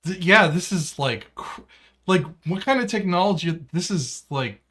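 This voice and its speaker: A man's voice: high-pitched, lively intonation, moderate pace, a bit bright, breathy edge. A man with an American accent co-hosting a podcast in casual, slangy banter peppered with "like" and "man". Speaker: podcast co-host.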